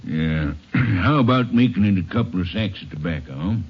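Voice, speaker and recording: An actor playing a kindly older storekeeper with a hesitant, plain-spoken manner in a 1950s radio drama. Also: male voice, deep voice, expressive, normal speed, slightly rough, exaggerated voice. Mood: cautious, friendly. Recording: muffled old radio recording.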